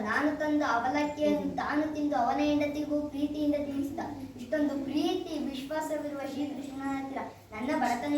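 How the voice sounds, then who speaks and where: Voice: high voice, lightly acted. Kid doing sudama, humble poor guy, earnest, distant in a big echoey room. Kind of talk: school stage play. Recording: echoey hall, distant mic, faint hiss.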